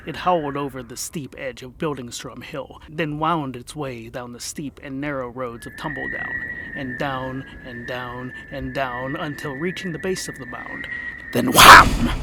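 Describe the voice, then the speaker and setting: A man narrating a comic fantasy tale as the show's host, theatrical, dropping to hushed whispers and rising to sudden bursts. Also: medium pitch, creaky, heavy background noise. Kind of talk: scripted audiobook narration.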